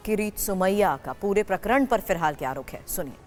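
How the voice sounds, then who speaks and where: A woman's voice: mid-range voice, expressive, a little fast, a little mellow. A woman anchoring a television news broadcast, presenting reports and questioning a correspondent. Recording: reverberant studio sound over music bed.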